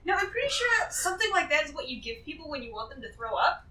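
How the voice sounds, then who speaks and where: A woman's voice: high-pitched, sing-song, fast, sharp tone. A woman chatting casually as a player at a tabletop game. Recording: echoey, noisy, distant group mic.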